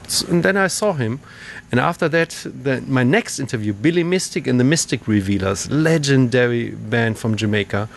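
A man's voice: medium pitch, expressive, moderate pace, vocal fry. Male presenter, middle register, loose conversational radio links. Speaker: radio show host. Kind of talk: casual, unscripted presenting.

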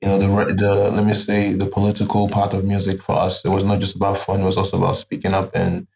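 A man's voice: deep voice, completely flat delivery, quick pace, vocal fry, darkish tone. A man from Nigeria, a musician, answering interview questions thoughtfully with many "you know" fillers at a live music event. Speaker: musician guest.

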